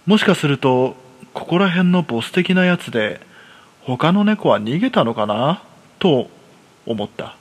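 A man as a reclusive thirtysomething narrator, rough-spoken. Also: fairly high pitch, lively intonation, unhurried. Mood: uneasy. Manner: colloquial, rough masculine storytelling. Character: reclusive unemployed man in his thirties, jittery, self-deprecating. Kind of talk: first-person horror story narration, radio drama.

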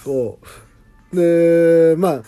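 A man's voice: fairly high pitch, drawn-out words, mellow, clean tone, soft background music. A man hosting a casual solo behind-the-scenes talk, speaking politely with enthusiastic emphasis.

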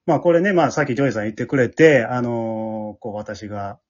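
A person with a Kansai Japanese accent chatting casually and animatedly as leader of an amateur radio drama troupe, explaining things in a husky voice. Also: mid-range voice, brisk, a little mellow. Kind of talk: casual after-show chat. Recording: dull lo-fi podcast audio.

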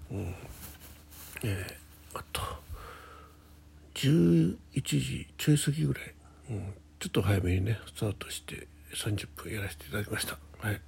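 A man hosting a casual solo morning radio show, chatting in a halting way, thinking aloud and murmuring to himself, with a husky, gravelly voice. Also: on the low side, mellow, a little hiss or hum. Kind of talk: casual solo chat with health log and listener letters.

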